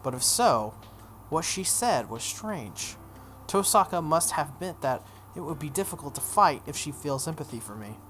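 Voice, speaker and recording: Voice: mid-range voice, very animated, normal speed, fairly bright tone, faint music behind. A video game playthrough host reading a story aloud dramatically, with casual offhand asides. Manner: acts out dialogue, breaks off for offhand comments.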